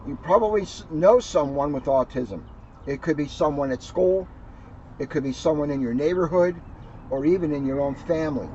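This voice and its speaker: A man with a fairly high voice reads a picture book aloud for children, adding plain explanations as he goes. The sound is somewhat dull, with faint noise behind him. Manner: simple, explanatory phrasing aimed at children. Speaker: police officer reading a children's book.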